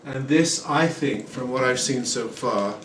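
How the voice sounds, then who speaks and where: A man in his forties giving a relaxed home guitar review, rambling with many ums and uhs. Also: medium pitch, unhurried. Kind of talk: casual product review. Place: small room at home, indoors. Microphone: camera mic at a distance. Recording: roomy, distant camera audio with noise. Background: acoustic guitar playing.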